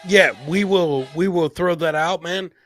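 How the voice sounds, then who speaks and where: A man's voice: high-pitched, fairly even intonation, a little slow. A man hosting a podcast, talking in casual live-stream banter with a brash, profanity-heavy manner. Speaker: podcast host.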